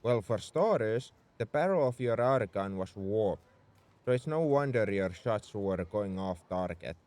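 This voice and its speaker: A man's voice: on the low side, animated, unhurried, darkish tone. A man playing a tsundere mech engineer in a roleplay audio drama, scolding and sarcastic with worry underneath. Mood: dry, scolding. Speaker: voice actor.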